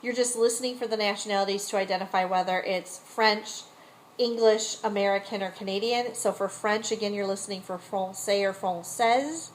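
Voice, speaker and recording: A woman's voice: mid-range voice, moderate pace, slight background noise, rough recording. A woman teacher casually and patiently explaining a listening exercise.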